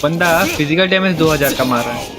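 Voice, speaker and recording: Fast-talking Indian man streaming games. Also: fairly high pitch, over loud music. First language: Hindi. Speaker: game livestreamer. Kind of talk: casual gaming commentary mixing languages.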